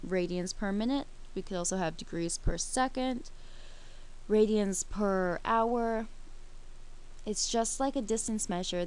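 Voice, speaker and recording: A woman's voice: medium pitch, a little hiss or hum, low-quality recording. A woman teaching a math lesson over a screen recording, explaining each step while she works the problem.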